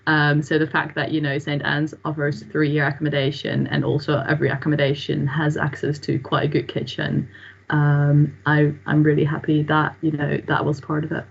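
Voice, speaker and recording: A woman's voice: low voice, completely flat delivery, normal speed, vocal fry, husky. A young woman from the Netherlands, a student, chatting with many fillers on a video call from a room at home. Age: young adult. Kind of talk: casual Q&A answers on video call. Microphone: laptop webcam mic on video call. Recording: dull lo-fi video call audio.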